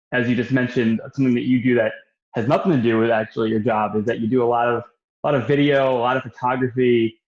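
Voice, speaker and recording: A man hosting an interview, asking questions in a friendly, conversational way. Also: mid-range voice. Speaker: interview host. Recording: echoey, dull lo-fi room.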